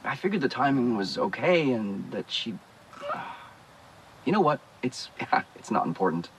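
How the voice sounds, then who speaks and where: A man's voice: medium pitch, very animated, moderate pace, a little hiss or hum, low-quality recording. Hesitant, worried, caring everyman. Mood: rueful, concerned. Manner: hesitant, conversational, trailing off. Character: worried, hesitant man who blames himself, guarded but caring. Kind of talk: naturalistic video game acting.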